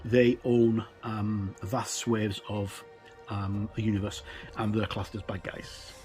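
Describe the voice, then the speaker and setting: A man's voice: on the low side, flat delivery, slow, darkish tone. Middle-aged man presenting, music behind. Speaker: host of a tabletop gaming channel. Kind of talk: casual presenter talk, book look-through. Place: indoors, tabletop overhead shot.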